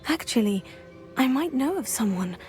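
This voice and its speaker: A woman's voice: sits high, very animated, moderate pace, a little mellow, faint music behind. An actress playing a polite, earnest teenage girl studying magic in a video game. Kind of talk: video game cutscene acting.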